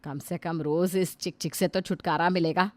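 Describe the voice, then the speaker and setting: A woman's voice: fairly low pitch, fairly even intonation, moderate pace, dry recording, lightly acted. A woman playing a weary elderly mother-in-law. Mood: resentful. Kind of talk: Hindi family drama acting.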